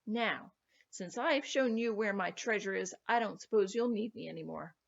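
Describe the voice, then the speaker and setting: A woman voicing a sly, cross little old leprechaun man in a storybook, with a sharp, wheedling manner. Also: medium pitch, moderate pace, vocal fry, lo-fi sound, lightly acted. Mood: sly, polite. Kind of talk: storybook character voice.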